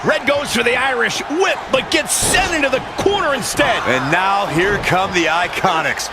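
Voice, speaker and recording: Very high-pitched, excitable wrestling commentator. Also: male voice, moderate pace. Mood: excited. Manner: dramatic, emphatic. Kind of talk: sports commentary. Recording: noisy arena ambience.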